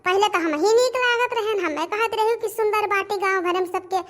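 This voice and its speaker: Woman voicing very high-pitched chatty Awadhi village in-law. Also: normal speed, fairly bright tone. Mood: jealous, complaining. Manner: chatty, repetitive rustic village talk. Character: village women of a household, gossiping, admiring and grumbling in-laws. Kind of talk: cartoon family comedy drama voice acting.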